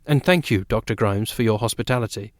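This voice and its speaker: A man's voice: fairly low pitch, a little fast, no echo, studio quality, natural voice. A man voicing a nervous young man who speaks carefully and stammers now and then. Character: nervous young man hiding his origins.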